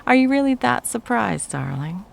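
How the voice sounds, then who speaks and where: A woman playing a possessive, coldly menacing yet cloyingly sweet corporate ex-girlfriend in an intimate ASMR roleplay, taunting with drawn-out endearments and breathy whispers. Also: fairly high pitch, very animated, full character voice. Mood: mocking, taunting.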